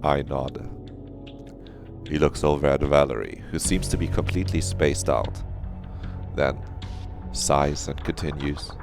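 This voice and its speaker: A man's voice: a bass voice, unhurried, gravelly, pauses often, music in the background. A man streaming a visual novel, reading it aloud expressively and breaking off for casual asides. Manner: dramatic, expressive reading, swears freely.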